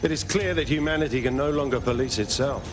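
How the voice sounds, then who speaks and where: An actor playing a cold authoritarian security chief giving a measured, grandiose presentation speech in a video game. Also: male voice, mid-range voice, natural voice. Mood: cold, imposing. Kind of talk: scripted dramatic presentation speech. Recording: loud music behind.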